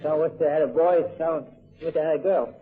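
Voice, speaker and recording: A man's voice: a little high, steady tone, a little fast. A man being interviewed informally, giving brief, agreeable, plain-spoken answers. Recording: tinny, narrow old radio recording.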